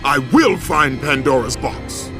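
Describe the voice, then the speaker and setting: An actor playing a fierce, anguished, vengeful Spartan warrior in a video game, with an intense, grave, declamatory delivery. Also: male voice, fairly high pitch, very animated, a little slow. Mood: wrathful, defiant. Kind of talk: dramatic video game acting. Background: dramatic music.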